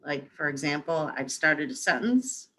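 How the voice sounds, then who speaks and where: A woman runs a webinar Q&A, gathering audience questions and rewording them for the presenter. Her voice sits low for a woman yet has a bright, forceful edge. She talks in quick bursts, rephrasing as she goes. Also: animated, husky. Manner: brisk, rephrases and recaps questions. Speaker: webinar moderator. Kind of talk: moderating a Q&A webinar.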